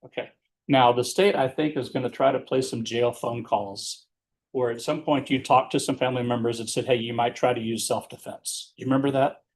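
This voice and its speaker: A man working as a defense attorney, questioning his client in court in a measured, explanatory way with leading questions. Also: mid-range voice, steady tone.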